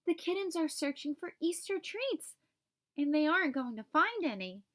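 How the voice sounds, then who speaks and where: A woman's voice: sits high, sing-song, normal speed, a bit bright. Woman acting a grumpy little male bunny. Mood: guilty, dismayed. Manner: complaining, self-pitying.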